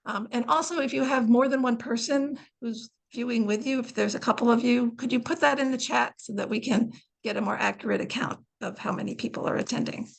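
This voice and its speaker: A woman's voice: fairly high pitch, brisk, vocal fry, rough recording. A woman with an American accent, a library director hosting a webinar and introducing the speaker, casual with frequent ums.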